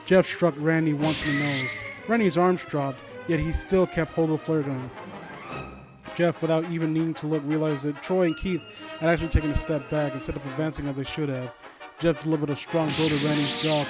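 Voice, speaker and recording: A man with a fairly high voice does casual commentary and story reading. He is sardonic and informal, and trips over his words as he goes. Music plays faintly behind him. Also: fairly even intonation, a little fast, low-quality recording. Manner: sardonic, mock-supportive asides, informal, stumbling over read lines. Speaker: host of a critique series reading a story aloud and commenting.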